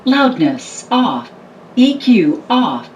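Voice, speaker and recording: A woman's voice: a little high, big pitch swings, drawn-out words, highs cut off, loud music behind the voice. A woman's automated voice announcing menu settings in clipped, impersonal phrases. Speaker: device voice prompt.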